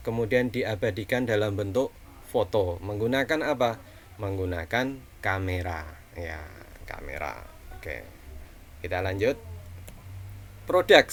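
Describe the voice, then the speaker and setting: A man with a Javanese accent gives an online class lecture at a middle pitch, easygoing and chatty, often posing a question and then answering it himself. A faint hiss of background noise sits under his voice. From Central Java, Indonesia. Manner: conversational, frequent tag questions and fillers; asks rhetorical questions then answers them.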